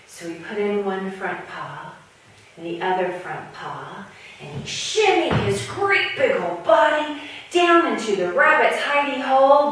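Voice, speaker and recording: A woman telling a children's story in an animated, playful way with drawn-out emphasis. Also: medium pitch. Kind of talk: animated storytime narration with puppets. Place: echoing room, speaking to a camera. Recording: very echoey room, far from mic.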